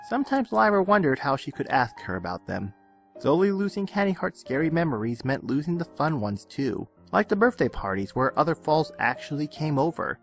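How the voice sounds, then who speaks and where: A man reading a fan fiction story aloud in an expressive, dramatic storytelling manner. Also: on the high side, fairly quick, gravelly. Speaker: audiobook-style reader of fan fiction. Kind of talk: scripted story narration. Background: background music.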